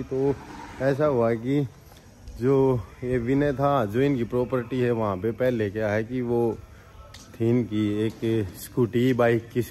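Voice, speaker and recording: A man's voice: medium pitch, a little hiss or hum. A man in his thirties, a vlogger, casually narrating and pointing things out as he goes, filming himself outdoors at arm's length. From Haryana, India. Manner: relaxed tour-guide talk, pointing out sights. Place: outdoors on a street. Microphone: camera held at arm's length.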